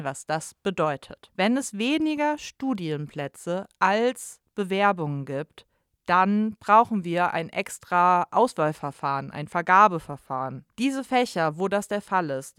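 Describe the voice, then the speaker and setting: A woman hosting a university podcast, asking questions and explaining things in a clear, organized way. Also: lowish voice, a bit bright, no room sound, studio quality. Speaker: podcast host, university staff. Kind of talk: friendly podcast interviewing.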